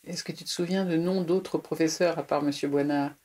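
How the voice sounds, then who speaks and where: Woman interviewer, quick, mellow low tone, prompting questions. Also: steady tone.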